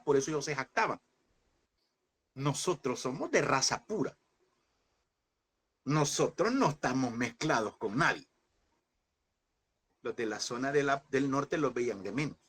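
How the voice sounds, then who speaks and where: A man teaching a Bible class, asking his listeners questions, repeating them and slowing down on key points. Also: medium pitch, a bit bright, breathy edge. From El Salvador. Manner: addresses listeners as brothers, asks and repeats questions; slows and stresses key words.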